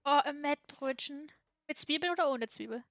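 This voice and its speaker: A woman's voice: sits high, moderate pace, fairly bright tone, no room sound. A woman streaming a game with friends, chatting playfully, teasing and giggling often. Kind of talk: casual gaming chat.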